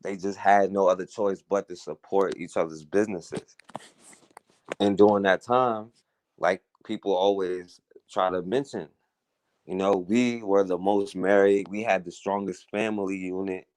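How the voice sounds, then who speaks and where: A man's voice: low voice, steady tone, measured pace, a little mellow, no room sound. A man hosting a talk radio show, talking through a casual, earnest monologue, often saying "you know" and "right?".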